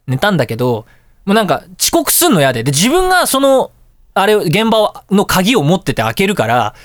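High pitched guy doing a casual radio show monologue, really animated storyteller, dry close sound. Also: fairly quick, no room sound. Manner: animated storyteller with exclamations and sound-effect words.

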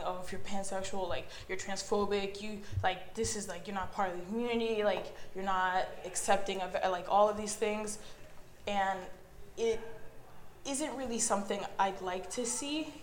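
A woman's voice: medium pitch, slight background noise. Earnest woman in an echoey room. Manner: earnest, explanatory, acts out others' remarks. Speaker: interviewee. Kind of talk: recorded interview answer.

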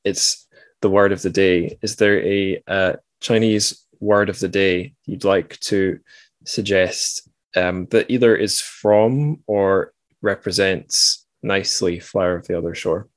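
A man with a low voice hosts a casual interview podcast. He is playful and halting, often repeating a word as he gets going. The sound is close and dry, with hardly any room. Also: steady tone, no room sound. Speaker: podcast host.

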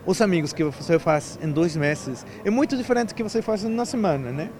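A man in his thirties from Mexico, speaking in a language that is not his first. His voice sits slightly high. He answers casually and haltingly, often restarting a phrase. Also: lively intonation, a little mellow. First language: Spanish. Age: 30s. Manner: halting, restarts often, mixes Brazilian and European Portuguese phrasing. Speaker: volunteer interviewee.

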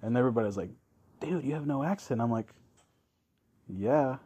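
A man's voice: medium pitch, lively intonation, moderate pace, warm tone, slightly rough, lo-fi sound. A young man whose first language is Spanish, hosting a casual chat with friends, laid-back, laughing often and saying "dude" and "like". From moved to the US at seven. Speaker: podcast host.

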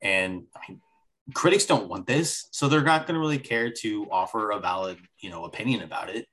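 Lowish-voiced man rambling on a podcast. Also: animated, brisk, fairly bright tone. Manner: rambling, with dry jokes. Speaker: podcast co-host. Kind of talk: casual chat.

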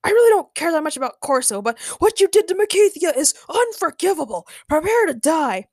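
Woman playing a petulant, high-pitched male contestant. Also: sing-song, a little fast, a little mellow, no room sound, studio quality. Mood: indignant. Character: melodramatic, petulant male contestant quick to outrage.

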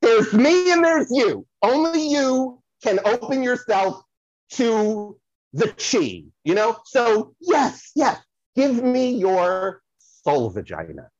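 Husky, flamboyant, swooping scatterbrained psychic. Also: mid-range voice, big pitch swings, dry recording, muffled recording. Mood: theatrical, coaxing. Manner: rambling, theatrical, repeats phrases, drawn-out dramatic words. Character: flamboyant, scatterbrained celebrity psychic, gushing and easily distracted. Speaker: podcast guest playing a psychic. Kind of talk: improvised comic audio play, podcast spoof.

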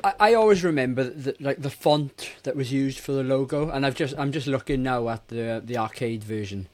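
A man's voice: medium pitch, normal speed. A British man in his forties talking casually as a co-host on a film review podcast. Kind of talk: casual chat.

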